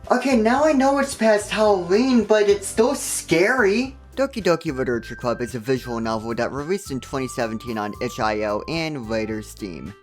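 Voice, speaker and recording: Male game reviewer, very lively pitch, sarcastic comedic narration over background music. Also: normal speed, few pauses. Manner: sarcastic, joking asides.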